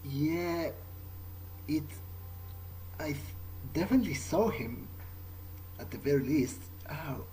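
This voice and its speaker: A man's voice: on the high side, animated, takes it slowly, pauses often, dark tone, slight background noise, poor audio. A man improvising in character during a video game playthrough, casual, hesitant and wry. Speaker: let's play gamer speaking in character.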